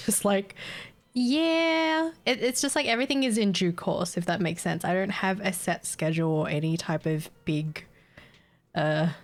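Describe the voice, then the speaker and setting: Young aussie woman streaming games late at night, sleepy and scatterbrained, giggles a lot, reading chat, faint music behind. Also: medium pitch. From Australia. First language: Vietnamese. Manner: tired, scatterbrained, giggly, reads chat aloud. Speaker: game streamer. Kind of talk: casual live-stream chat while gaming.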